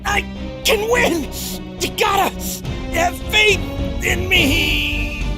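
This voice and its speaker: An earnest young martial artist from an animated game. He pleads emphatically in a high, strained, drawn-out voice, with music underneath. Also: heavily acted. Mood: desperate, determined. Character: earnest, determined young martial arts hero.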